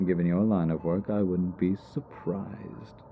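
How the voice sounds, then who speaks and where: A man's voice: deep voice, fairly even intonation, takes it slowly, narrow, tinny audio, a little hiss or hum. A man playing a cocky, teasing supervillain stuck as a hospital patient in an audio roleplay, talking with smug mock politeness. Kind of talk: ASMR roleplay audio drama.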